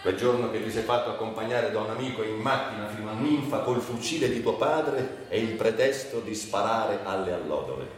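A man's voice: on the low side, a little fast, hardly any pauses, natural voice. Casting: male actor as bitter, haunted man, cynical and volatile, theatrical radio monologue; distant, very echoey sound. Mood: nostalgic, wry. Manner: brooding, volatile, swinging between outbursts and murmurs. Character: troubled, bitter man recalling drug years, cynical and haunted. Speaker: stage actor interpreting a monologue. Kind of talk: dramatic literary monologue, theatrical radio acting. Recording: distant, very echoey, faint background noise.

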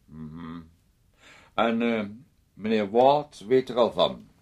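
A man's voice: lowish voice, lively intonation, slow, a little mellow. An actor playing a bossy, prying, needling man in a radio play, with an imperious, theatrical manner. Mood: suspicious. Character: bossy, prying, needling man who orders another around.

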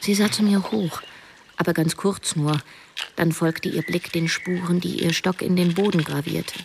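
A woman's voice: on the low side, fairly even intonation, moderate pace, gravelly, background noise. An actress playing a wry, stubborn cleaning woman who confides her story in a German radio drama. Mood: wry, determined. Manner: confiding, hesitant in dialogue. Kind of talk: German radio drama first-person narration.

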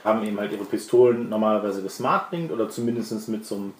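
A man's voice: on the low side, fast, slight background noise. A man narrating a tabletop role-playing game as game master, explaining things informally. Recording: echoey room with music underneath. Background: music.

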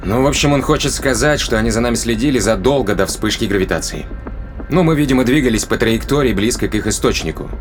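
A man's voice: medium pitch, animated, fast, faint music behind, natural voice. A man playing a sardonic, reasoning spaceship crewman in an audio drama, explaining things in a colloquial way. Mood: wry, explanatory.

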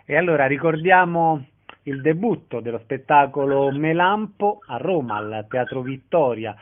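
A man's voice: a little high, lively intonation, normal speed. Male interviewer with tinny, phone-like sound. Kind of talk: radio-style interview questions and sign-off. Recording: tinny phone-like narrow band.

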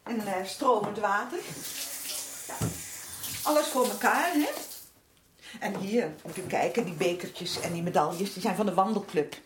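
A woman's voice: medium pitch, natural voice. An actress playing a flustered middle-aged working-class housewife and protective mother who rambles and hesitates in colloquial speech, in a naturalistic radio drama. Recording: faint noise and clicks, old radio drama.

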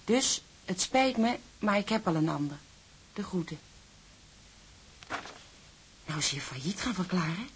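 A woman acting in a radio drama as a caring, anxious sailor's wife, homely and expressive. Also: lowish voice, a little slow, long pauses, noisy background. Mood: dismayed.

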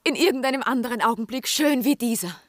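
A woman's voice: a little high, lively intonation, fast, dry recording, studio quality, natural voice. An actress playing a proud, melancholy young woman in love, with intense theatrical delivery in a radio drama. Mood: passionate. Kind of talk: 1960s German radio drama acting.

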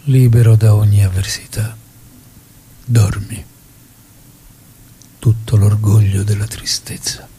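An actor playing a solemn mourner, reciting a poetic farewell gravely and slowly in a husky, breathy voice. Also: male voice, on the low side, little pitch movement, dark tone, a little hiss or hum. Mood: grave, mournful. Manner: slow, grave, pausing delivery.

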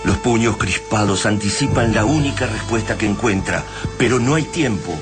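A man's voice: on the low side, moderate pace, over music. A man with an Argentine accent giving a dramatic narration for a radio drama.

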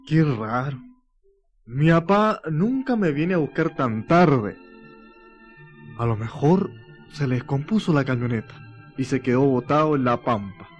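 An actor playing a patient eighteen-year-old Chilean farm lad who mutters to himself in a radio drama. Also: male voice, medium pitch, expressive, unhurried, long pauses, faint music behind, close to an everyday voice. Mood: worried, resigned. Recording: dull lo-fi radio drama recording.

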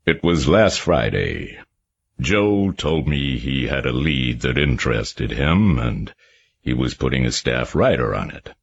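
A wary, educated man speaks reluctantly in a very deep, husky voice with a polished manner, as a male narrator puts him on. His pitch swings widely. The recording sounds dull and lo-fi. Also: big pitch swings, moderate pace, hardly any pauses, exaggerated voice. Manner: Harvard accent put on, careful and reluctant.

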